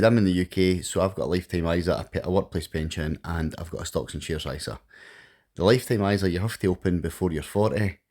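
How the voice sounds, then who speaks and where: A man's voice: deep voice, a little mellow. A Scottish man hosting a crypto channel, explaining savings and investments in a rambling, conversational way. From Scotland. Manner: rambling, conversational, with restarts and fillers. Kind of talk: casual explainer monologue.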